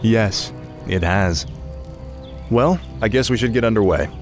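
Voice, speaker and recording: An actor playing a confident young prince and military commander in a fantasy video game, self-assured and commanding. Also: male voice, on the low side, measured pace, over music, close to an everyday voice. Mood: friendly.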